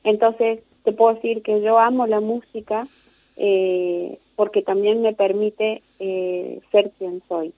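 A woman of about fifty with an Argentine Spanish accent speaks in a reflective, conversational way, as a guest explaining herself. Her voice sits at an ordinary pitch. It comes through a thin, phone-like connection with very little room sound. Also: level tone, slow, no echo. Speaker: interview guest, singer and singing teacher. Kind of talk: conversational interview answers. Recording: narrow tinny phone-like line.

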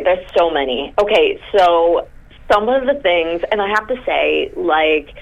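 A woman's voice: deep voice, lo-fi sound. A woman from the United States, a guest in an interview, talking in an enthusiastic, chatty way with many fillers. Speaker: guest, content creator. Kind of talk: conversational interview.

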